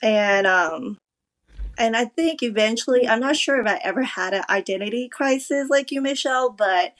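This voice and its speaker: A woman co-hosting a podcast, speaking in a thoughtful, measured and appreciative way. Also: on the high side, a bit bright. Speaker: podcast co-host. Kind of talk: casual chat.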